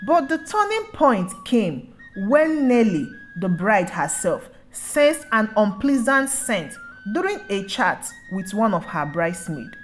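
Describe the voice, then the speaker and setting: A woman's voice: mid-range voice, sing-song, slow pace, faint music behind, poor audio. A woman narrating a scripted folktale as a storyteller.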